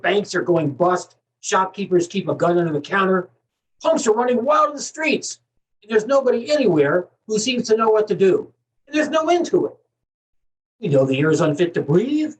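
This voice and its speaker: A fed-up television anchorman acted by a man rants in a dramatic monologue, exhorting with agitated emphasis. The pitch swings widely, and the voice is picked up at some distance from the microphone. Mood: grim, agitated. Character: fed-up, impassioned male TV news anchor ranting.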